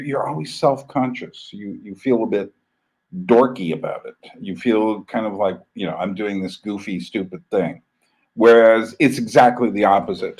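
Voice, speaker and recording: A man's voice: lowish voice, darkish tone, low-quality recording. A man from the United States talking casually on a live stream, rambling with many fillers, restarts and chuckles. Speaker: channel host.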